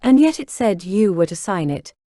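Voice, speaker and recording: Stern middle-aged corporate woman, voiced by a man: high, clipped, lively delivery. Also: moderate pace, no room sound, clean studio sound. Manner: clipped, commanding.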